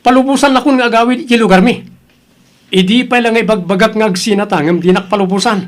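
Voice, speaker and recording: A man acts as a lazy young Filipino husband in an audio drama. He is defiant and quick to grumble, arguing in a voice that sits high for a man. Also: close to an everyday voice. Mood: irritated, defiant. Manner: grumbling, argumentative.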